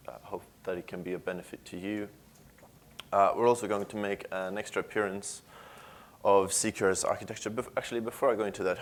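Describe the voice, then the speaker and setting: A man in his thirties from Sweden with a Swedish accent, giving a technical conference talk in a casual, conversational way with frequent filler sounds. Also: sits low. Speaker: conference speaker. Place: conference room with an audience.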